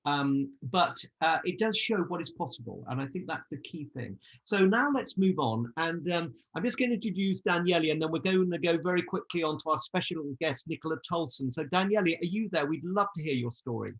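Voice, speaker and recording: A male host with a slightly high voice links speakers on an online group call. He talks informally, with effusive enthusiasm. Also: animated, fairly quick, hardly any pauses, a bit bright, rough recording.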